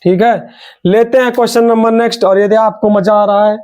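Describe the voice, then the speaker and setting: Young guy coaching a reasoning class, fairly high voice, sore throat, keeps pushing students to answer fast. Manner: energetic, urging students, repeats key words. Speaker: reasoning teacher. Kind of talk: online exam coaching class.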